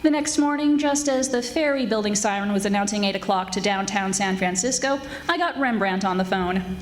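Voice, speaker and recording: A woman playing a wisecracking private detective in 1940s radio mystery style, with brisk, wry, hardboiled delivery. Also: medium pitch, lightly acted. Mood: measured narration. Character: brisk, wisecracking female private detective narrating her case. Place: live stage radio theatre performance. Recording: echoey stage recording with music.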